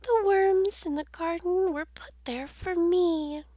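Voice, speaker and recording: A woman voicing a little bird in a storybook, light and breathy. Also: very high voice, slow pace, warm tone, dry recording, studio quality, pitched above the performer's own voice. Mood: chirpy.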